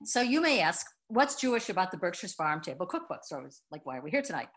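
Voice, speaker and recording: A woman's voice: fairly low pitch, sing-song, quick pace, fairly bright tone. An older woman, a cookbook co-author, presenting a talk earnestly over a video call from home, with frequent fillers. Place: wood-paneled room at home. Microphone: laptop video call.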